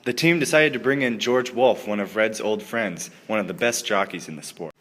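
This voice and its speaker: A man's voice: fairly low pitch, moderate pace, a bit bright. A man reading scripted story narration as a narrator. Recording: echoey hall, clear background noise.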